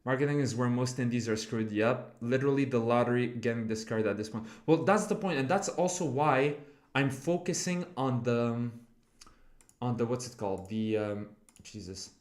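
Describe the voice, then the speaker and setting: A man's voice: medium pitch, expressive, normal speed, darkish tone, echoey room. Rambling young man streaming at home. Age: 20s. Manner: rambling, filler-heavy, swears often, talks to chat. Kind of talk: casual live-stream chat. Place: home room.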